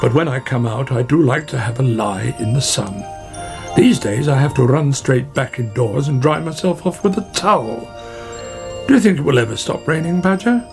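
Chatty woodland otter, played by a male narrator: slightly fretful, mid-pitched with vocal fry, music underneath. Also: lively intonation, moderate pace. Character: chatty, mildly worried otter, friendly woodland animal. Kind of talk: children's storybook character.

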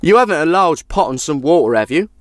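A man's voice: on the high side, lively intonation, a little fast, no room sound, clean studio sound, voice pushed up, lightly acted. A man voicing a sly, hungry traveller in a folk tale, a persuasive trickster who coaxes. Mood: casual persuasion. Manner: coaxing, persuasive.

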